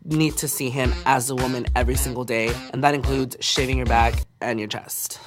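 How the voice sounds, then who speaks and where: A man's voice: mid-range voice, fairly even intonation, fairly quick. A man who works as a makeup artist, speaking bluntly and sassily in reality show confessionals, with music behind him. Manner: blunt, sassy.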